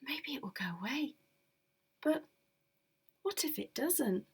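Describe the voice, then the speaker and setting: A woman's voice: extremely high pitch, slow pace, recorded from a distance, pitched above the performer's own voice. A woman doing a fretful village woman, chanting. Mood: anxious. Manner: loud, anxious sing-song chanting.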